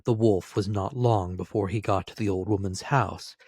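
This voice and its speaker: A man's voice: on the low side, steady tone, moderate pace, darkish tone, breathy edge, no room sound, clean studio sound. A man giving a dramatic scripted reading of a fairy tale as storyteller.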